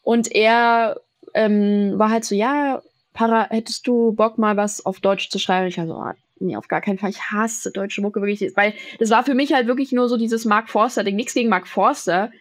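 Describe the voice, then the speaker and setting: A woman's voice: medium pitch, steady tone, normal speed, no echo. A young woman from Germany, a musician chatting casually in an interview with lots of fillers and English slang. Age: young adult. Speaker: musician guest.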